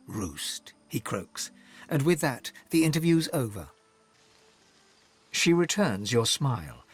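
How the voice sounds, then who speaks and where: A man playing the narrator of a fantasy game, telling the story in a theatrical, measured, husky voice. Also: mid-range voice, heavily acted. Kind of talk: dramatic scripted second-person narration. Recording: background music under the voice.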